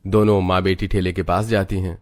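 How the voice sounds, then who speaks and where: A man's voice: low-pitched, little pitch movement, fairly quick, a little mellow. A man narrating a scripted moral story as its storyteller.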